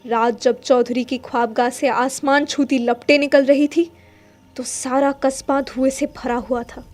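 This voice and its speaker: A woman with a high voice narrates a dramatic literary tale. She reads as a storyteller in an audio play, with faint music playing underneath. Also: fairly even intonation, a little slow.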